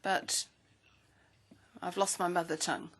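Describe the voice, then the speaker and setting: A woman interpreting a speech into English at a parliamentary hearing, with a steady, measured delivery. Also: lowish voice.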